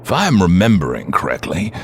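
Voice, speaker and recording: Man acting an aged, grave leader, extremely deep voice. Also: huge pitch swings, slight background noise, exaggerated voice. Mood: grave, formal. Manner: measured, formal, commanding oratory. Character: aged, grave male mutant leader in a wheelchair. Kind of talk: audio drama acting.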